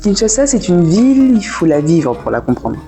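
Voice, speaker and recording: A woman's voice: lowish voice, very animated, normal speed, warm tone, faint music behind. A woman in her thirties from France, with a French accent from France, answering as a guest in a casual interview. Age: 30s. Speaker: guest, café owner.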